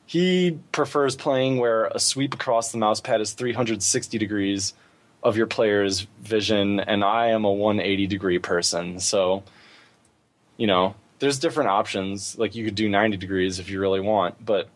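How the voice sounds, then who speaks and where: A man's voice: on the low side, moderate pace. A man hosting a podcast, chatting casually about gaming in an informal way, thinking aloud as he goes. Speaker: podcast host.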